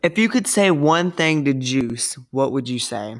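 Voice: medium pitch, lively intonation. A young podcast host asking questions in a hesitant way, with lots of ums. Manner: slow, hesitant, laughs often. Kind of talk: casual podcast interview.

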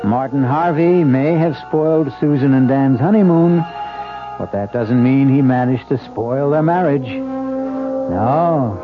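A man hosting a radio mystery series, giving scripted theatrical narration in a deliberate, wry manner. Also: mid-range voice. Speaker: host of a radio mystery anthology. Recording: dull 1970s radio, music behind.

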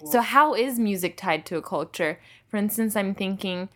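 A woman's voice: medium pitch, animated, normal speed, fairly bright tone. A young woman hosting a podcast, asking the guest curious questions and murmuring along as she listens. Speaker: podcast host. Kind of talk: interviewing.

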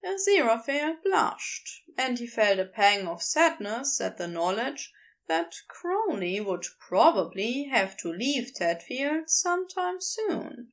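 A woman narrates a romantic story in a high, very animated voice. Her delivery is unhurried, with pitch that rises and falls freely through each phrase, and a strongly creaky edge. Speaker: podfic narrator reading fan fiction aloud.

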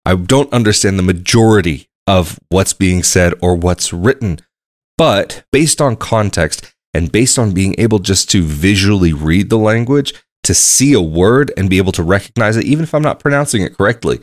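A man's voice: fairly low pitch, dry recording, clean studio sound. A young adult man hosting a travel podcast, talking alone in a rambling, conversational way and thinking aloud as he goes. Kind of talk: casual solo monologue.

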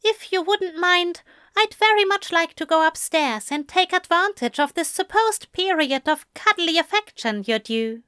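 A woman's voice: very high voice, lively intonation, a little slow, pushed and bright, dry recording, clean studio sound, pitched above the performer's own voice, full character voice. A woman voicing a fussy, earnest male angel in an audiobook story, with prim and plaintive phrasing. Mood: earnest, gentle.

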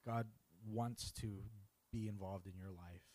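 Low-voiced man sharing his testimony. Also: steady tone, unhurried, darkish tone, no echo. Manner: earnest, reflective. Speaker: interview guest. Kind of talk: personal testimony.

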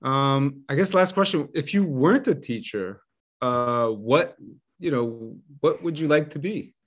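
A man's voice: mid-range voice, animated, a little slow. A man hosting a podcast interview, encouraging his guest with short agreeing replies. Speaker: podcast host.

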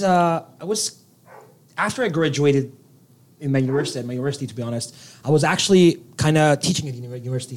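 A young man from Turkey with a Turkish accent, a guest in a casual interview, talking in an excitable, animated way with a little nervousness and frequent laughs. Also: on the high side, slight background noise.

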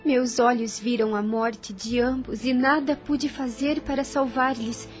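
An actress playing a brave, gentle young woman in a radio drama, earnest. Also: a little high, steady tone, a little slow, faint music behind, close to an everyday voice. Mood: grieving, subdued.

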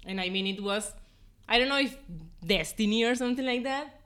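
Bright-voiced young woman, earnest and informal. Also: on the high side, fairly quick. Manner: earnest, informal, frequent "like" and "you know". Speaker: podcast guest, former volunteer. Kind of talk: casual personal storytelling in an interview.

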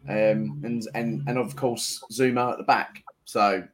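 A man's voice: lowish voice, steady tone, unhurried, a little hiss or hum. A man co-hosting a football podcast, talking informally as a fan in casual conversation. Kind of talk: casual chat among fans.

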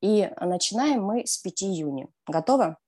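A woman hosting a radio quiz with an upbeat, teasing delivery and a mid-range voice. She reads out questions and answer options briskly. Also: medium pitch, lively intonation, a little mellow. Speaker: radio co-host, quiz presenter.

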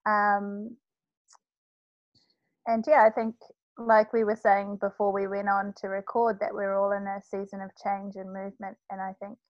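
Flat-toned woman on dull, muffled audio. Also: medium pitch, no echo. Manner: thoughtful, measured, with fillers. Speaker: podcast guest. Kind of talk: casual conversation.

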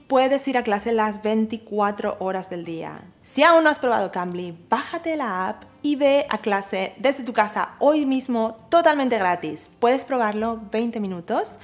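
Woman hosting a quiz, lively highish voice, really stresses key words. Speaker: host and quiz presenter. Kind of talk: scripted presenter narration, quiz reading.

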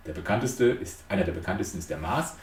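A man's voice: sits low, animated, fast, slight background noise. A man giving an explanatory lecture, laying out numbered points emphatically and posing rhetorical questions. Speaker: lecturer on transactional analysis. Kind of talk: explanatory lecture retelling a fairy tale. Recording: echoey room, distant microphone.

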